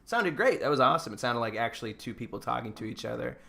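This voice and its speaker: A man's voice: mid-range voice, lively intonation, fast talker, creaky, bright, forceful tone. American guy cohosting a podcast, informal and encouraging, just chatting feedback. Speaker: podcast co-host. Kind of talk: casual chat giving feedback.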